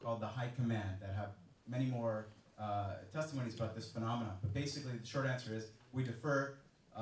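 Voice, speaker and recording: A man's voice: mid-range voice, a little hiss or hum. A man on a panel answering an audience question, hesitant, with many fillers and restarts. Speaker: panelist, former soldier. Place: large indoor event room. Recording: echoey hall, far from mic.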